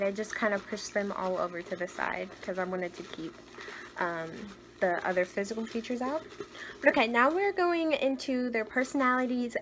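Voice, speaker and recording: A young woman with a naturally higher voice reads tarot cards in a relaxed, chatty way. She gushes over what she finds and drops to soft murmurs when she sets her intention. A faint hiss sits under the close recording. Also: rough recording. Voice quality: higher-pitched voice. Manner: chatty and gushing, trails off with soft murmured asides. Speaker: tarot reader. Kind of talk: casual tarot reading.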